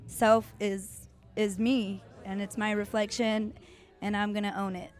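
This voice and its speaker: A woman's voice: mid-range voice, level tone, measured pace. A woman with a mid-range voice that stays level. She reflects on herself in an interview, stating her views assertively.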